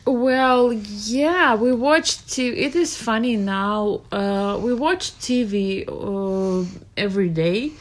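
Slow-speaking woman. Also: a little high. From Russia. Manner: unhurried, searching for words. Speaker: interviewee. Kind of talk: casual childhood memories.

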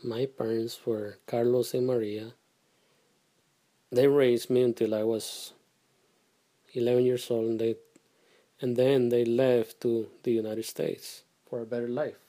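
A middle-aged man from Guatemala, answering questions in a casual family interview, halting and soft-spoken with pauses and restarts. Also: medium pitch, flat delivery. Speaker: interviewee, father.

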